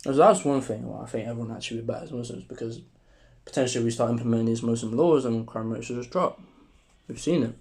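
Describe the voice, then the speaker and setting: A young man with a sore, strained throat, talking casually to camera in a rambling, profane monologue while trying to keep his voice deeper. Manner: rambling, profane, relaxed and deliberately deeper delivery. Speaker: vlogger.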